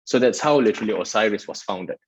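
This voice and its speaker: A man's voice: fairly low pitch, expressive, fast, muffled recording, slight background noise. A man from Malaysia, a guest in an interview, explaining his work at length in an earnest way with many restarts. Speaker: guest, startup festival director. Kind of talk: interview answers.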